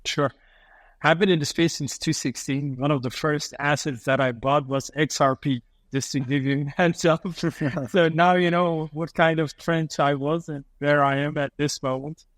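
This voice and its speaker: A man's voice: fairly high pitch, fairly even intonation, moderate pace, a bit bright, dry recording. A man from Afghanistan, a guest on a podcast, talking casually and acting out investors' thinking in quoted asides.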